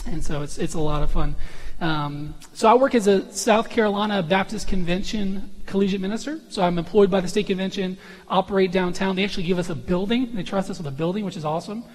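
A young man with an unusually high voice, a visiting college minister opening his sermon in a friendly, self-deprecating, chatty way. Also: fairly quick. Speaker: guest preacher, college minister. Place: church sanctuary. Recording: long church reverb.

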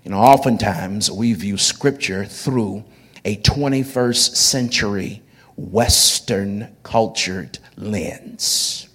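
A man's voice: lowish voice, slow pace, husky. A man in his forties, a pastor, preaching a sermon in a conversational way and often repeating words as he builds his phrases. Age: 40s.

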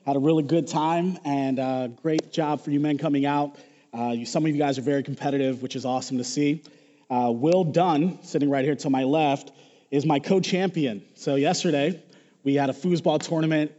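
Hoarse pastor in an echoey church. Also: male voice, on the high side, steady tone, moderate pace, dark tone. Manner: joking, casual, talks to the congregation. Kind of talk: sermon. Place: church sanctuary. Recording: dull echoey church hall recording.

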